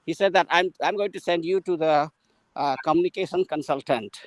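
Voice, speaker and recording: A man with an Indian English accent tells a long, rambling story, full of small pauses and restarts. He is walking while he talks. His voice sits a little high, and it sounds dry and close to the mic with no room echo. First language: Maithili. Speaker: guest mentor in an English practice session. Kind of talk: casual conversational storytelling.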